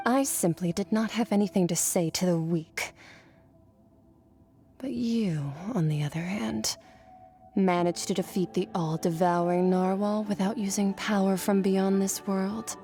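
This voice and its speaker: A woman voicing an aloof, blunt master swordswoman in a video game, speaking in a deadpan, matter-of-fact way. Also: medium pitch, measured pace, faint music behind, close to an everyday voice. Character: aloof, blunt female master swordswoman, coolly self-assured. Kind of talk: scripted video game dialogue.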